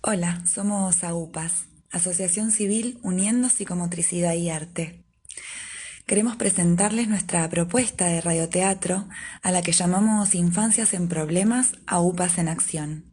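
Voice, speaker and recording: A woman with a lowish, mellow voice reads a prepared presentation for her association. Her tone is soft-edged and husky, with a formal, explanatory delivery. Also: unhurried. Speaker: association member presenting a project. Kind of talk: scripted presentation.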